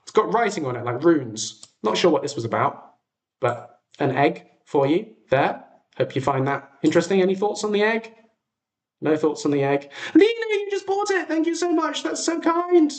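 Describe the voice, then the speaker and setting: Animated, whimsical British man chatting casually. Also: high-pitched, normal speed. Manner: enthusiastic, whimsical. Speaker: livestream host. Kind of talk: casual chat to viewers.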